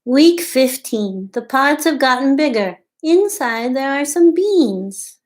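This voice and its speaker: Slow, lilting woman reading to young children. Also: high voice. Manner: simple, expressive explaining aimed at young children. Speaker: storytime host at a community farm. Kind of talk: children's read-aloud and show-and-tell. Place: small room at home, indoors.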